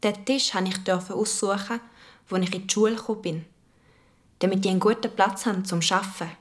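A woman's voice: medium pitch, steady tone, a little fast, close to an everyday voice. A young woman with a Swiss German accent playing an earnest, imaginative schoolchild who narrates an adventure in a children's radio play, musing aloud. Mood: tired, quiet.

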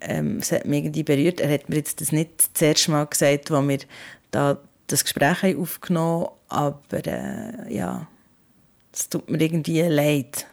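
A woman's voice: sits low, steady tone, normal speed, gravelly, darkish tone. A woman with a Swiss German accent hosting a podcast in a conversational narrating style. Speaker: host.

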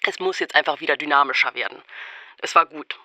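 A man's voice: fairly high pitch, brisk, pushed and bright, slight background noise. A man reading a scripted, diary-like first-person narration close to the microphone. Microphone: close, dry microphone.

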